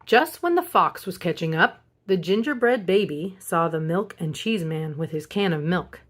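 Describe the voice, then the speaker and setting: A woman reading a picture book aloud as a storyteller, in an expressive children's narration style. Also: on the low side, normal speed. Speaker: storybook reader.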